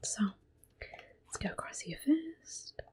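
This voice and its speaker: A woman hosting a relaxing game playthrough, talking mostly in a gentle, unhurried whisper with small exclamations here and there. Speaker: gaming ASMR host. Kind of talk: soft whispered game commentary.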